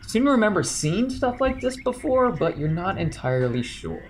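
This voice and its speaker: A man's voice: sits high, normal speed, slight background noise. A man narrating and explaining rolls as game master of a tabletop game. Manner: relaxed, explaining rules and scenes.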